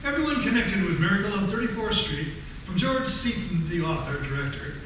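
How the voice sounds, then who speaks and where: A man's voice: high-pitched, lively intonation, brisk. A man playing a kindly old Santa actor bantering in a 1940s-style radio show. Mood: genial. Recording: distant echoey hall, faint noise.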